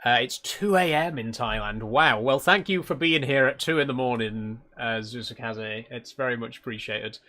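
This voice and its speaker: A man's voice: medium pitch, normal speed, few pauses, sharp tone. A British man chatting casually and politely to viewers as a livestreamer. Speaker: live streamer. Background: background guitar music.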